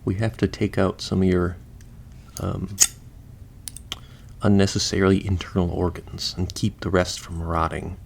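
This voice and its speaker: A man's voice: deep voice, flat delivery, a little slow, creaky, heavily acted. A man playing a chatty, easygoing surgeon who patches up the undead, explaining casually as he works in a listener roleplay.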